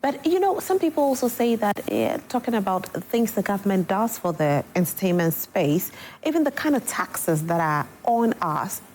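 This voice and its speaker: A woman hosts a morning interview show in a TV studio. Her pitch moves in a lively way as she asks probing follow-up questions. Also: normal speed, few pauses, slight background noise, poor audio. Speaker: TV show host.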